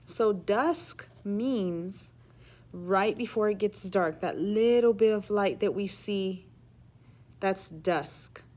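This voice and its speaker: A woman reading a children's story aloud in an expressive, teacherly way, pausing to explain words and ask questions. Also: medium pitch. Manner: animated, emphatic reading with hushed asides.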